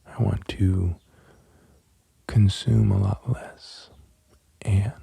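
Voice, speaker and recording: Man, soft ASMR delivery, low-pitched, flat intonation, breathy near-whisper, gentle pauses, close dry mic. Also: no echo. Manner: gentle, unhurried, halting with long pauses. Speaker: host of an ASMR channel. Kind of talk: soft-spoken ASMR, musing and poem reading. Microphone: close microphone.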